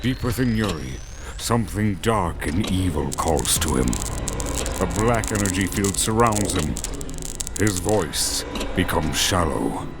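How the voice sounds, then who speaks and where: A man's voice: deep voice, expressive, unhurried, background noise. A man reading scripted, dramatic story narration as the narrator. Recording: prominent music under narration. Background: music.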